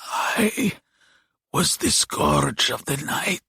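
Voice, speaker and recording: An ancient dying demon from a storybook, croaking and hissing in a raised, strained pitch. He drags out each grand, menacing phrase with savage pride, his frail breath rasping through the words. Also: lively intonation, bright, forceful tone, dry recording, clean studio sound, full character voice. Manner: slow, grandiose, drawn-out delivery. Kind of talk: dramatic audiobook character voice.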